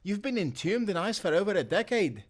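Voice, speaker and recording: A man's voice: sits high, big pitch swings, brisk. Man playing earnest timberman sailor. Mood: gentle, reassuring. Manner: earnest, old-time seafaring speech. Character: kind, principled male timberman sailor of the 1790s. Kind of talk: cinematic audio roleplay.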